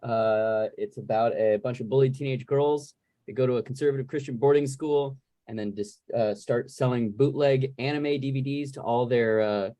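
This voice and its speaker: A man hosts a casual Q&A over a video call. His voice has a middle pitch and sits in a very dry sound with little room around it. He speaks as a podcast moderator would. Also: moderate pace, mellow, dry recording.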